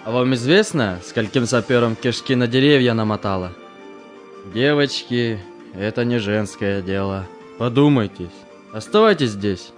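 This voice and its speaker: A man playing a gruff, commanding army major who is exasperated but protective, in an amateur audio drama. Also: mid-range voice, animated, normal speed, soft background music, close to an everyday voice. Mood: stern, dissuading. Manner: commanding, stern.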